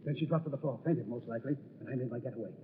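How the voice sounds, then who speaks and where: Man as scheming swindler, impatient, quick delivery, old radio acting, reverberant tinny recording. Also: medium pitch, a little hiss or hum, lightly acted. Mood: urgent. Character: boastful, scheming male swindler, bossy and impatient.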